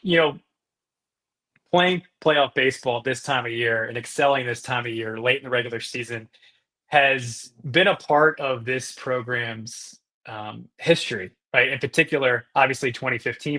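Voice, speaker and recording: A man's voice: medium pitch, sharp tone. A man hosting a sports podcast, asking long, winding interview questions. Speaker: podcast host.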